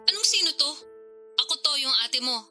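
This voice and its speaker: A woman's voice: high-pitched, lively intonation, fairly quick, over music. An actress playing a pushy, sarcastic older sister from the province in a radio drama, needling her brother for money. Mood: sarcastic, teasing.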